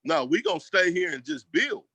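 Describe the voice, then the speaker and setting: Casting: American man, community advocate, bright punchy voice, dry close sound, casual but emphatic interview talk. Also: fairly high pitch, huge pitch swings, normal speed, gravelly, breathy edge, no room sound. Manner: emphatic, colloquial, repeats phrases for emphasis. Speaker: school district equity staff member and community advocate.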